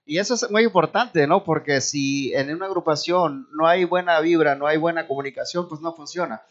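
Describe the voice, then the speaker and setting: A man guesting on a music show as a band member, chatting casually and joking in a relaxed way. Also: on the high side, fairly quick, muffled recording. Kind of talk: casual chat. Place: TV studio set, indoors.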